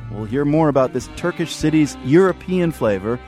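A man's voice: a little high, animated, moderate pace, darkish tone, soft background music. A man presenting a radio news program from a script.

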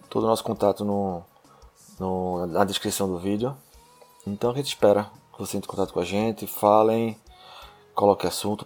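Low-voiced northeastern Brazilian man. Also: darkish tone. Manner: hesitant, with restarts and light laughs. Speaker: podcast co-host. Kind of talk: casual podcast chat.

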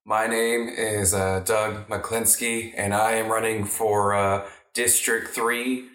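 Halting, stammering political candidate played for laughs, echoey. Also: medium pitch, little pitch movement. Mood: earnest, halting. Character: stammering political candidate, earnest, easily upset. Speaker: improv radio play performer. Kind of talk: improvised mock political ad. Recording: echoey room, distant mic, loud music.

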